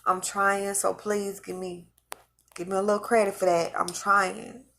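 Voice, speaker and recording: A woman's voice: mid-range voice, flat delivery, measured pace, creaky, pushed and bright, slight background noise. A woman who is losing her voice, talking casually and rambling to her viewers, repeating and restarting phrases as she recounts visions. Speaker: vlogger recounting religious visions. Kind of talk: casual spoken monologue to viewers.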